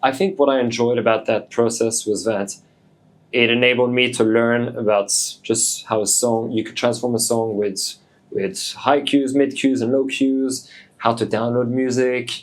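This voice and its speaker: A man in his late twenties, French-born and raised in Hong Kong, talking in a relaxed, thoughtful way as a DJ guest, with many fillers. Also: medium pitch, moderate pace. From France, raised in Hong Kong. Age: 20s. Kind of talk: casual interview.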